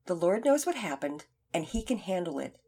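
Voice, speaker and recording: A woman voicing a calm, serious male Sunday school teacher who speaks evenly and patiently. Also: fairly low pitch, breathy edge, full character voice. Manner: calm, measured.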